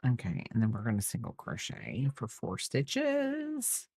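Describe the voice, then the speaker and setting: A woman's voice: a bass voice, huge pitch swings, measured pace, darkish tone, husky, no echo, clean studio sound. A middle-aged woman chatting casually as a crochet host, in a rambling way, trailing off and repeating herself. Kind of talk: casual chat while crocheting, counting stitches aloud.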